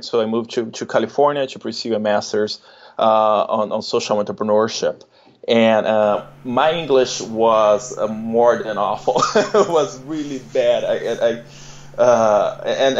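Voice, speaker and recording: A man's voice: mid-range voice, roomy sound, dull audio. A young man from Brazil with a Brazilian Portuguese accent, speaking as a guest in a casual interview, hesitant and full of fillers and repeated words.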